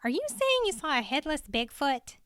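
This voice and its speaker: Casting: female forest ranger for an audiobook, voiced by a woman reader, raised pitch, emphatic, incredulous, bossy but caring. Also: big pitch swings, normal speed, fairly bright tone, dry recording. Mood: shocked, incredulous. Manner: emphatic, exclamatory. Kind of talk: audiobook character dialogue.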